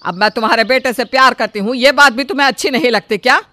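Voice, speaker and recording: A woman voicing a bossy, sharp-tongued older mother-in-law who scolds and commands her family. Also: on the high side, fast talker. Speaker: voice actor. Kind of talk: Hindi storybook drama acting.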